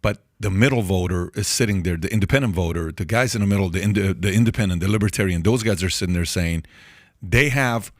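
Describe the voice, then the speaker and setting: A man's voice: low-pitched, fast talker, no room sound, clean studio sound. A man hosting a podcast, talking conversationally and repeating his points for emphasis. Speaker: podcast host. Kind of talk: podcast discussion.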